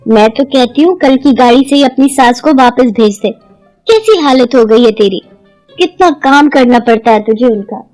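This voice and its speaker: A woman voicing a gossipy, meddling young neighbour who flatters slyly in a chatty, insinuating way. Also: fairly high pitch, normal speed, faint music behind, lightly acted, low-quality recording. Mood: conspiratorial.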